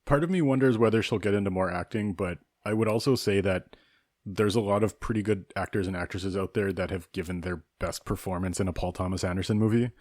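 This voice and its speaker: A man co-hosting a casual film review podcast, speaking in a measured, knowledgeable and opinionated way. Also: low voice, a little mellow, no room sound, studio quality. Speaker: podcast co-host.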